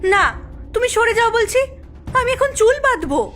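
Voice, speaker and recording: A woman voicing a gentle, sorrowful young princess living as a servant in a cartoon fairy tale, plaintive and earnest. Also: very high voice, very animated, a little slow, full character voice. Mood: firm, commanding.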